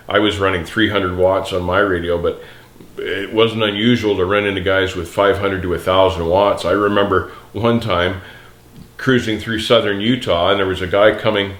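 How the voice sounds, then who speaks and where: A man's voice: low voice, fairly bright tone. A middle-aged man, a veteran trucker, reminiscing casually and nostalgically to viewers in a plain-spoken, folksy way. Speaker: veteran trucker and video host. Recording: roomy, echoey sound.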